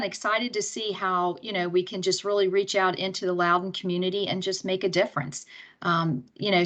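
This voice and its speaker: A woman who is a program manager, giving earnest remarks over a video call with frequent fillers. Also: fairly low pitch.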